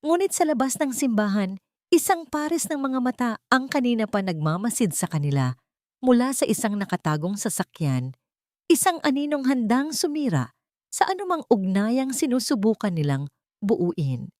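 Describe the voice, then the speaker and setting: A woman reading scripted dramatic fiction as a narrator, in a solemn, deliberate way. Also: a little high, very animated, a little mellow, no room sound, studio quality. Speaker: story narrator.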